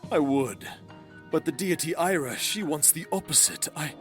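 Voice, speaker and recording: A man playing a devout, fearful young count in a visual novel drama, halting and pleading. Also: a little high, expressive, faint music behind, natural voice. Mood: distraught, pleading.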